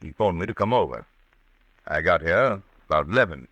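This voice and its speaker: A man acting an assured, formal doctor in an old-time radio drama, speaking briskly. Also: low voice, animated, fairly bright tone, no room sound, dull audio. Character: assured doctor, loyal friend of a troubled patient. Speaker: radio actor.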